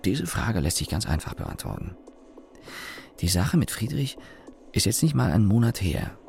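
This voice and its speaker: A man acting as a young, sardonic engineer telling his story in a radio play, wry and deliberate, with pauses and self-corrections. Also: lowish voice, sing-song, gravelly, darkish tone, faint music behind. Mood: dry, sardonic. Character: young engineer, sardonic company employee recalling his father. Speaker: narrator and lead actor. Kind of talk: German radio play monologue, reflective storytelling.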